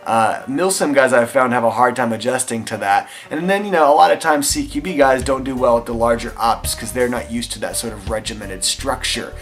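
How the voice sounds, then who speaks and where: A young man with a Texan drawl talks through viewer questions in a relaxed, enthusiastic vlog style. His voice sits at an ordinary middle pitch and is dotted with easy fillers. Faint music plays behind him. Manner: enthusiastic, chatty, frequent fillers. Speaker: airsoft channel host answering viewer questions. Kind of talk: casual Q&A vlog.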